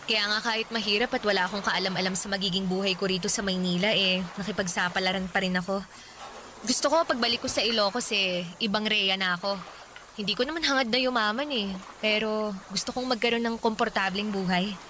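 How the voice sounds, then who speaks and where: A woman's voice: medium pitch, fairly quick, pushed and bright, a little hiss or hum, close to an everyday voice, poor audio. A woman playing a hopeful, chatty waitress from the provinces in a conversational radio drama. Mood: hopeful, wistful. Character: hopeful, playful provincial waitress, in her thirties, wanting family. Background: music.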